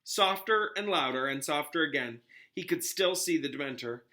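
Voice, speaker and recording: A man narrates a storybook with expression, as a teacher reading to a class would. His voice is very bright and forceful, pitched slightly high. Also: lively intonation, moderate pace. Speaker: teacher reading a novel aloud.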